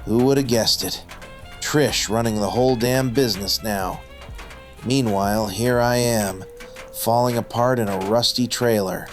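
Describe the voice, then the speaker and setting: An actor playing a middle-aged, washed-up wrestler, bitter, defensive and self-loathing, in a noir radio drama. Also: male voice, mid-range voice, a little slow, soft background music, close to an everyday voice. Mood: bitter, angry.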